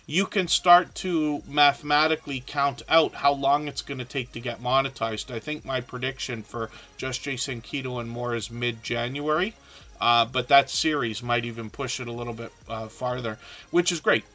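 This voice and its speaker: A man's voice: medium pitch, steady tone, moderate pace, pushed and bright. A middle-aged man, hosting a live stream with a scratchy, worn voice and a chatty, encouraging manner full of ums. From southern Ontario, Canada. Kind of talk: casual live stream chat.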